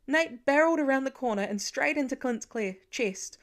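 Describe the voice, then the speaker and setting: A woman's voice: high-pitched, expressive, normal speed. Woman narrating a story expressively. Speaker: fan fiction audiobook reader.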